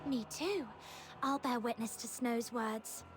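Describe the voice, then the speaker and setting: A woman's voice: a little high, slow pace, background noise. An actress playing a small, polite young girl investigator in a video game, careful and matter-of-fact. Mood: gentle.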